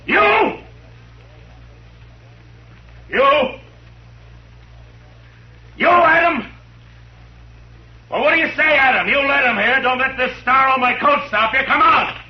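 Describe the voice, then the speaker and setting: An actor playing a calm, commanding frontier marshal in a 1950s radio drama, speaking low and firm. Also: male voice. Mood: defiant. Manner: low, measured, firm; rises to a shout facing a mob. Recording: dull old radio recording with faint hiss.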